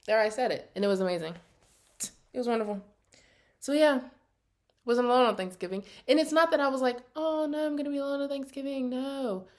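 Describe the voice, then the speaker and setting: High-voiced young American woman, chatty and sassy. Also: brisk, pauses often. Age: early 20s. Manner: animated, sassy, slangy storytelling. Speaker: vlogger. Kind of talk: casual, chatty personal vlog.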